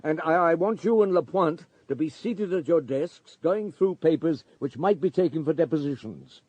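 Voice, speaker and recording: An actor playing a calm, persistent police superintendent in a radio drama, courteous but firmly authoritative. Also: male voice, fairly high pitch, normal speed, no echo. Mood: brisk, commanding.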